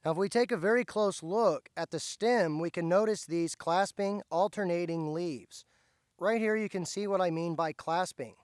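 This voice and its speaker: A slightly hoarse man, patiently explaining step by step as he teaches plant identification outdoors. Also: fairly high pitch, no echo, studio quality. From central Indiana, USA. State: slightly hoarse, recovering from lost voice. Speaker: host, foraging teacher. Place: outdoors by a driveway.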